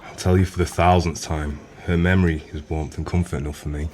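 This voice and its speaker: An actor playing a gruff but kindly pub landlord in an audio drama, weary and plain-spoken. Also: male voice, very deep voice, little pitch movement, brisk, a little mellow. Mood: firm, dismissive.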